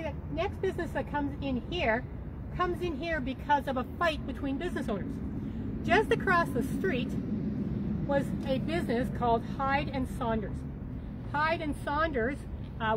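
A middle-aged woman with a high voice reads a local history tour from paper notes, explaining each detail with casual asides. She speaks into a handheld mic outside on a sidewalk. Passing traffic and street noise sound clearly behind her. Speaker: walking tour guide. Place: outdoors on a city sidewalk. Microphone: handheld mic with foam windscreen.

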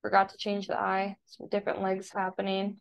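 A woman with a creaky voice, an art teacher casually explaining software step by step in a tutorial voice-over. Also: medium pitch, completely flat delivery, fairly quick. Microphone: screen-recording voice-over. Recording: dull, lo-fi voice-over.

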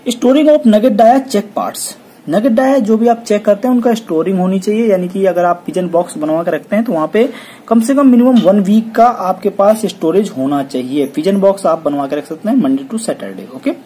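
A woman giving a technical lesson on welding. She reads each item off a checklist and explains it, with a steady "okay" after every point. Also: mid-range voice, moderate pace, a little hiss or hum. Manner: repeats "ठीक है" after each point, reads English terms then explains in Hindi. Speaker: welding instructor.

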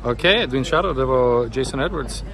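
A man's voice: medium pitch, lively intonation, fairly quick, low-quality recording. A man in his forties hosting a street interview at an outdoor festival, introducing his next guest. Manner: keeps up constant short agreement. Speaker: video host. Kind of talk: vox pop interview. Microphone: phone held close for a selfie video. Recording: busy crowd around.

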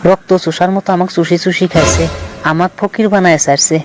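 A man's voice: sits high, fairly even intonation, a little fast, soft background music, close to an everyday voice. A man voicing a bitter, jilted young man in a cartoon, complaining and admonishing in an eastern Bengali dialect. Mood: bitter, aggrieved. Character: jilted, bitter young man cautioning his lovesick friend. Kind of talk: cartoon voice acting.

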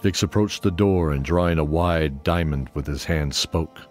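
A middle-aged man hosting and narrating an audio drama in a measured, deliberate way with long pauses. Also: rumbling low, a little mellow. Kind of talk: sincere spoken introduction, then scripted fantasy narration. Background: new-age music.

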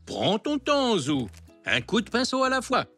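An actor voicing a patient, kindly older grandfather zebra in a dubbed cartoon, with a gentle, encouraging manner. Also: very high voice, wildly swooping pitch, unhurried, soft background music, heavily acted. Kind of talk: French dubbed cartoon acting.

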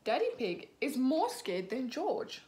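A young woman teacher reads a children's picture book aloud, her voice slightly high and very lively in pitch. She takes her time, speaking slowly and clearly. The laptop recording has a small room's echo and a faint hiss behind it. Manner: animated, slow and clear, acting out characters. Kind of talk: children's storybook read-aloud. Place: small room at home, indoors. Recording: echoey room, faint background hiss.

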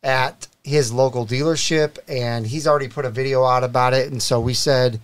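A man's voice: medium pitch, fairly even intonation, moderate pace, smooth. A middle-aged man hosting a live car podcast, greeting viewers and reading sponsor plugs in an upbeat way. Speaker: show host. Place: studio set with desk mics. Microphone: desk mic on boom arm.

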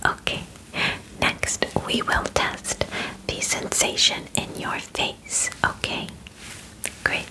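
A young woman plays a calm alien examiner in an ASMR roleplay. The voice is whispered and very slow, close on the microphone. The manner is formal and methodical, gently reassuring the human under inspection. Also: poor audio. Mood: calm, clinical. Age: 20s. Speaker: ASMR performer. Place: bedroom studio, indoors.

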